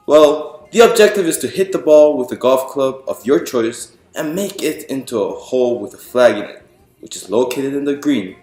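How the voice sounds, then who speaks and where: A man reading a picture book aloud to an audience in a clear explanatory, presentational way, putting questions to the listeners. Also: a little high, a little mellow, roomy sound. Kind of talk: storytime read-aloud to an audience.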